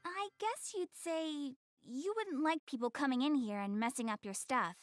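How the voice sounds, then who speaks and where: A woman's voice: high-pitched, moderate pace, faint music behind, lightly acted. A woman playing a young goddess in an anime game dub, proud but prim and easily flustered, with a stammering, backtracking manner. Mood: bashful, defensive.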